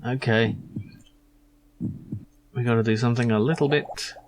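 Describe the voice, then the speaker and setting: A man's voice: medium pitch, fairly even intonation, normal speed, slight background noise. A man giving casual, musing commentary as he plays a game. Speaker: let's play host. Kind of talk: casual game commentary, reading lines aloud.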